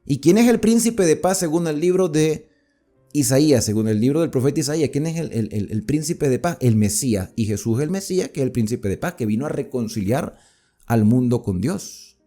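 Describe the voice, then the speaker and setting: A man's voice: medium pitch, lively intonation, brisk, dark tone, faint music behind. A man from Venezuela with a Venezuelan accent from near the Colombian border, teaching a Bible study in a conversational way, asking and answering his own questions and repeating points for emphasis. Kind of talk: explanatory Bible study talk.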